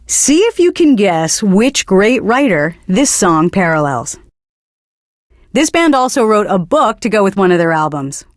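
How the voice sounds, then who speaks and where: An actress playing a young, earnest and smugly pretentious hipster DJ in a video game, talking between songs. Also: female voice, mid-range voice, dry recording, studio quality, natural voice. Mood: dreamy, enthusiastic. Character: pretentious young hipster indie radio DJ woman, earnest and smug. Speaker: radio DJ. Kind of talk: radio DJ patter between songs.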